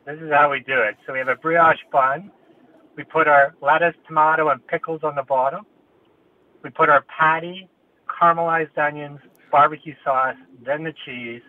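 A man's voice: fairly high pitch, fairly even intonation, moderate pace. A man from Canada with a Canadian accent calling in to a radio show, listing things in a matter-of-fact way. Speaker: caller. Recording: phone line.

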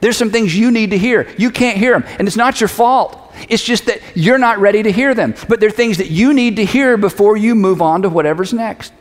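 A man's voice: high-pitched, brisk, hardly any pauses. A middle-aged man giving a conversational sermon as a pastor, speaking emphatically and repeating key phrases. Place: large hall, preaching to an audience. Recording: reverberant large hall.

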